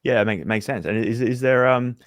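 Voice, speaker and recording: A man's voice: mid-range voice, brisk, dry recording, clean studio sound. A man interviewing a guest conversationally, feeling his way into questions and rephrasing them as he goes. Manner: tentative, hedging, rephrases questions.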